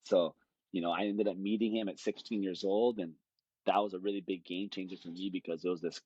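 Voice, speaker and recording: A man's voice: deep voice, fairly even intonation, fairly quick, a little mellow, husky, no room sound, muffled recording. A man, telling stories in a rambling, easygoing way as a podcast guest. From Hawaii. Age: late 20s. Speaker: guest, jiu-jitsu fighter. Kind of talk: casual podcast conversation.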